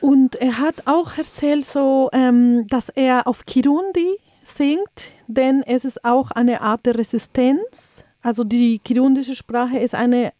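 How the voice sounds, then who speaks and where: A woman co-hosting a radio talk show with a fairly high but mellow, warm voice. She speaks thoughtfully and often pauses to search for her words. The sound is dry and close to the mic. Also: no echo.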